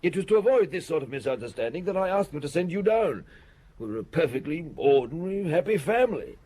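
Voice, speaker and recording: An actor playing a nervous, ingratiating stepson of a rich family in a radio drama. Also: male voice, fairly high pitch, very animated, brisk, mellow. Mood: defensive. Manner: eager, fussy, defensive.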